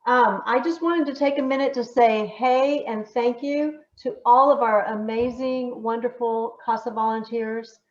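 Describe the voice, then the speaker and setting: High-voiced woman on a muffled, echoey call. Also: fairly even intonation, a little slow, few pauses. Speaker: nonprofit executive director giving thanks. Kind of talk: informal thank-you speech. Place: echoey room on a video call.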